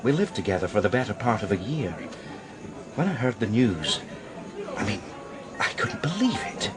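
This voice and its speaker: An actor playing a discreet male art dealer in a radio crime drama, speaking in a deliberate, hesitant, euphemistic way. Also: on the low side, big pitch swings, over music. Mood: heartbroken. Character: male art dealer George, heartbroken, guarded, discreet old friend.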